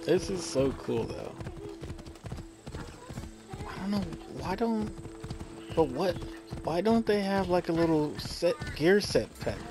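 A man's voice: sits high, sing-song, takes it slowly, pauses often. A man casually commenting as he plays a video game, chatting and laughing with his viewers. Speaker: gamer playing a video game.

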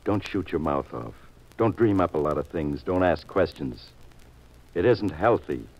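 A man's voice: sits low, animated, measured pace, a little mellow, exaggerated voice, rough recording. An actor playing a slick, menacing tough man in a 1950s radio mystery. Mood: threatening. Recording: 1950s radio recording, crowd behind.

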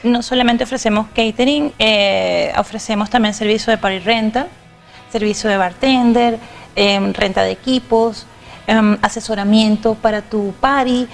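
A middle-aged woman speaks as a catering guest on a TV cooking segment. Her voice is fairly high and gravelly with vocal fry. She explains in halting bits while her hands work. She is recorded on a lapel mic in a studio kitchen. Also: fairly even intonation, faint music behind.

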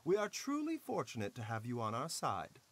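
A man's voice: medium pitch, huge pitch swings, a bit bright, full character voice. A man voicing a courteous, formal pharmacist doctor in a game. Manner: polite, formal, measured. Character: courteous, smooth-spoken male pharmacist doctor.

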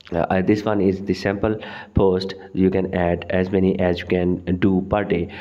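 A man whose first language is Urdu gives a screen-recorded tutorial, halting often with fillers and restarts. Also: low voice, highs cut off. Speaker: tutorial host.

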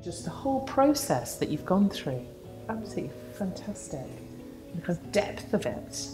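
A woman, the project's client, giving warm feedback to students in an ornate synagogue hall. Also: medium pitch, very animated, moderate pace, music in the background.

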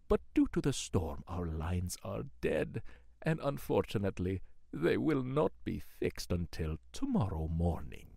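An aristocratic horror-play host with a lowish, husky voice. He is effusive and courtly with a menacing edge, and his pitch moves in lively, theatrical sweeps. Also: no echo, studio quality, full character voice. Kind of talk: horror radio play acting.

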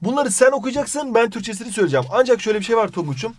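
A man's voice: extremely high pitch, very animated, rapid-fire. A man with a Turkish accent teaching an English lesson in a jokey way, playfully scolding as he goes. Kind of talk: scripted lesson with playful banter.

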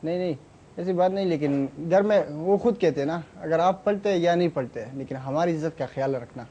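A young man, a university student and audition contestant, answering in a studio in a halting, soft-spoken way with a sore throat. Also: a little high, slightly rough. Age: young adult. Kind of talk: hesitant interview answers. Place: TV studio set, seated in a chair.

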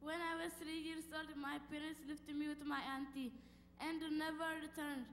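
Girl from Ethiopia reciting flatly, echoey. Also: high voice, moderate pace. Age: child. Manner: flat, memorized delivery. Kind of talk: reciting a prepared testimony. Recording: echoey church hall with faint hum.

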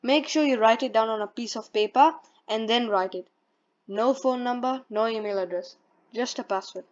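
A woman's voice: a little high, normal speed. A woman presenting a step-by-step tutorial in a plain, instructional way, reading from a script. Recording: dull lo-fi voice-over.

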